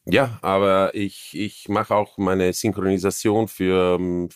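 A man with a trace of a Viennese accent speaks in a very low, deep voice. He talks haltingly, restarting sentences as he hunts for the right word, and often makes fun of himself. The sound is close and dry, as if from a studio mic. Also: little pitch movement, clean tone, no room sound. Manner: halting, searching for words, self-deprecating. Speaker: actor guest. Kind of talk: casual interview chat.

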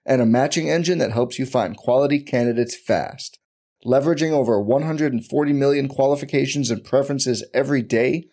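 A man reading a scripted sponsor ad in a smooth, even delivery. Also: mid-range voice. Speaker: ad announcer. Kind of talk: scripted ad read.

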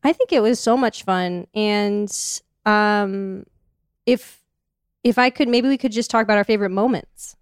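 A woman's voice: mid-range voice, unhurried, clean tone, no room sound. Female co-host, earnest and melodic, dry call audio. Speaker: podcast co-host. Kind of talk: casual comedic chat on a video call. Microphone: video call.

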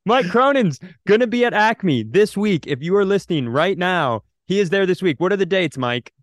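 A man's voice: high-pitched, animated, normal speed, dry recording. A man co-hosting a podcast in casual comedic chat, with a rambling, wry way of talking. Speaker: podcast co-host.

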